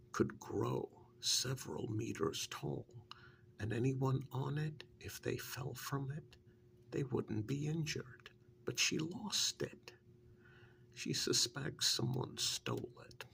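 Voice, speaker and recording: A man acting out a plain-spoken, fond handyman who serves a witch, in an ASMR role-play. His soft half-whisper crackles with heavy vocal fry. He takes his time, halting often, over a faintly noisy recording. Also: medium pitch, full character voice. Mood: calm, fond reminiscing. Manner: unhurried, halting, matter-of-fact storytelling.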